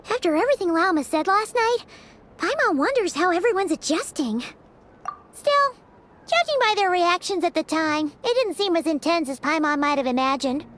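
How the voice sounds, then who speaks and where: A woman voicing a small, childlike fairy companion in a video game, squeaky, perky, eager and blunt. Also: animated, normal speed, a bit bright. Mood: chatty, curious. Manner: perky, speaks of self in third person. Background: background music.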